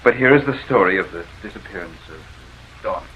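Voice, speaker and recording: An actor playing a grave, courteous doctor in 1940s radio drama, speaking formally and deliberately. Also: male voice, low-pitched, lively intonation. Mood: solemn, formal. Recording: muffled, hissy 1940s radio recording.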